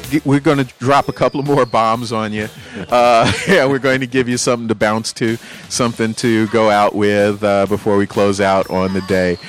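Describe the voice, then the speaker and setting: A man with a lowish voice hosts a radio music show in a relaxed, chatty way. He laughs easily and trades banter with his co-host. Faint music plays behind him. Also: normal speed, hardly any pauses. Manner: laid-back, chatty, laughs often.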